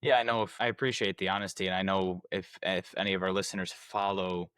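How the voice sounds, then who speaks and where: A man's voice: deep voice, little pitch movement, fast talker, fairly bright tone, clean tone, no room sound. A young adult man hosting a podcast interview, asking long, rambling questions with frequent restarts. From United States. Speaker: podcast host. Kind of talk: casual interview chat.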